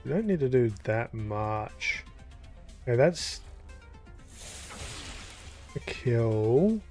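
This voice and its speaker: Slow, mellow-voiced gaming commentator. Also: male voice, medium pitch, big pitch swings, soft background music. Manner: thinking aloud, unhurried, with fillers. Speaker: gaming YouTuber. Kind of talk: casual let's-play commentary.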